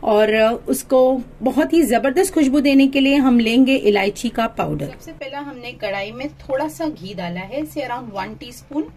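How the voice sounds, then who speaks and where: A woman's voice: on the high side, lively intonation, unhurried, few pauses, a little mellow, far from the mic, a little hiss or hum. A woman hosting her cooking channel, chatting through a recipe as voice-over and mixing English phrases into her talk.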